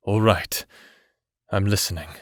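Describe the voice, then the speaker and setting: A man's voice: on the low side, unhurried, dry recording, studio quality, natural voice. Man playing an earnest, weary prisoner. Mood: desperate. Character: principled, earnest male prisoner, weary but determined. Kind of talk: audio drama acting.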